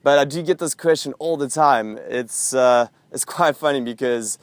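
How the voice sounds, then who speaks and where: A man's voice: medium pitch, moderate pace, clean tone, dry recording. A young man with a South African accent, hosting a casual vlog and chatting upbeat to camera about teaching abroad. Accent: South African English. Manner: upbeat, chatty, with frequent fillers. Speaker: vlog host answering viewer questions.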